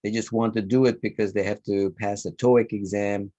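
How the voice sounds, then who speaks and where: A man whose first language is Turkish, giving classroom feedback and lecturing in a relaxed way, thinking aloud with many fillers. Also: fairly low pitch, normal speed, no room sound, dull audio. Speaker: instructor.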